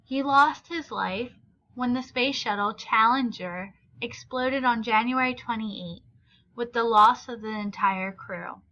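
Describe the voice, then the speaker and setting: A woman reads a picture book aloud for young listeners. Her voice is bright and fairly high, and she phrases each line expressively and deliberately. The recording is a little dull and lo-fi. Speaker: read-aloud storyteller.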